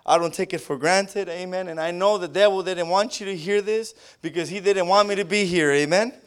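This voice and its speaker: A man with a Spanish accent preaching a sermon, tacking an amen onto nearly every phrase and rising into loud fervent bursts. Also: high voice, a little fast, clean tone. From Southern California. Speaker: pastor.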